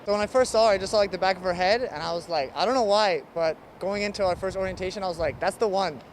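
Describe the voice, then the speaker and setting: Casting: US male interviewee, higher pitch, casual storytelling, light street noise. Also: fast, rough recording. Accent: American. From United States. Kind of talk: casual chat, telling personal story. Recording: faint street traffic noise.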